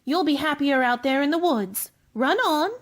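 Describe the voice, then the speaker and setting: A woman's voice: high voice, animated, normal speed, heavy background noise. A woman playing a polite, earnest, curious young girl in a radio play. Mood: fretful, scolding.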